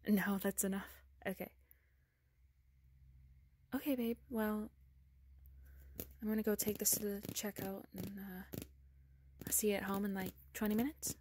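A woman speaking softly and often whispering in a relaxed ASMR girlfriend roleplay, recorded indoors among bookshelves on a handheld phone. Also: medium pitch, a little slow, long pauses, a little hiss or hum. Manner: soft-spoken, drifting into whispers.

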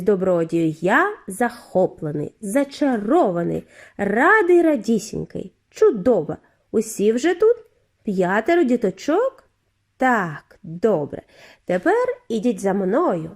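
A woman voicing a giddy, eccentric factory owner in a storybook reading, with a high, clear, flute-like voice and effusive, drawn-out greetings. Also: darkish tone. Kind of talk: audiobook character voice.

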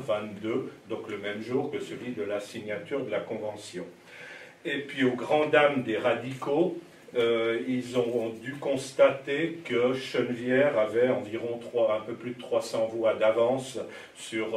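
In a reverberant hall, picked up a bit far from the microphone, a middle-aged man lectures on history. His voice is fairly low, and he tells the story haltingly, repeating words as he goes. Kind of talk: public history lecture, semi-scripted storytelling. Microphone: gooseneck lectern microphone, some distance from mouth. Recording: echoey hall, distant mic, faint noise.

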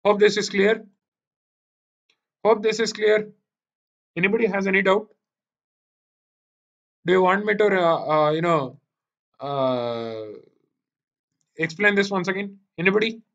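A man's voice: high-pitched, measured pace, pauses often, a bit bright. A man with an Indian accent giving a live online programming lecture, repeating himself and often checking that students follow. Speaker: programming teacher. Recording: dull, lo-fi online meeting audio.

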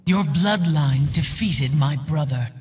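Slow, imperious nature goddess. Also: female voice, low-pitched, darkish tone. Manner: formal, imperious, measured. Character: haughty, regal nature goddess. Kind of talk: video game fight banter. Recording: hall-like reverb, game ambience noise.

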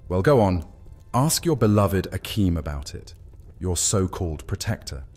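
An actor playing a cocky, sardonic young vampire soldier in a fantasy audio drama, with a theatrical, teasing delivery. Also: male voice, low-pitched, big pitch swings, moderate pace, a little mellow, soft background music. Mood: needling.

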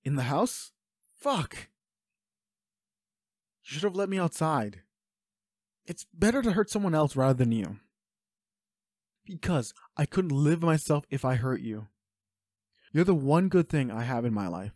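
A man's voice: fairly high pitch, animated, a little slow, pauses often, darkish tone, dry recording, studio quality. A man playing an anguished, protective werewolf boyfriend in an audio roleplay, speaking with urgent, pleading emotion. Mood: shaken, guilty. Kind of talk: intimate dramatic roleplay acting.

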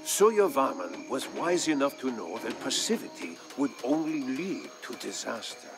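A man playing a thoughtful veteran war chronicler, telling a story for a game in a measured, grave and theatrical way. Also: mid-range voice, big pitch swings. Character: thoughtful veteran male war chronicler recounting past campaigns. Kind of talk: dramatic scripted storytelling narration for a game. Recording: music behind the voice. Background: background music.

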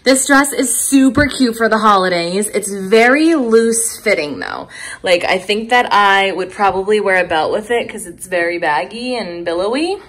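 A woman's voice: mid-range voice, lively intonation, normal speed, fairly bright tone. A woman in her thirties chatting casually and enthusiastically as a vlogger, recorded indoors at home on a handheld phone. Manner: chatty, informal, upbeat enthusiasm. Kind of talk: casual vlog chat, clothing try-on commentary. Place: furnished rooms at home, indoors. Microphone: phone held at arm's length.

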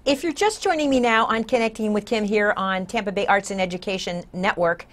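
An older American woman hosts a studio talk show in a conversational way. Her pitch is average for a woman as she guides the interview. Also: brisk, a bit bright. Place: small indoor TV studio.

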